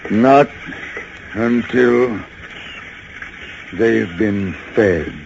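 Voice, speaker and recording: A grim, commanding chief lighthouse keeper in a vintage radio play. His voice is gravelly and his delivery slow and terse. The recording sounds dull and noisy. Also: fairly low pitch, exaggerated voice. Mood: grim, firm. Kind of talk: old-time radio drama acting.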